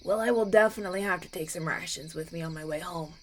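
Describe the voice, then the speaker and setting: A woman voicing a kind, grateful young prince in a roleplay audio, speaking in a gentle, hesitant, conversational way. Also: fairly low pitch, quick pace, slight background noise, lightly acted. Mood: weary, appreciative.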